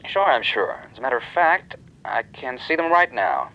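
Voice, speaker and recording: Actor as breezy club man, slangy, animated pitch, dull band-limited recording, radio mystery. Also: moderate pace, close to an everyday voice. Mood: breezy, confiding. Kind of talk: radio detective drama acting.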